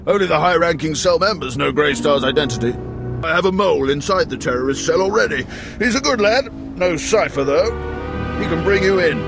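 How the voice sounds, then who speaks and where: An actor playing a wry, seasoned imperial station chief in a video game, speaking in a deliberate manner. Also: male voice, fairly high pitch, animated, vocal fry, music in the background. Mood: wry, scheming. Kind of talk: scripted video game dialogue.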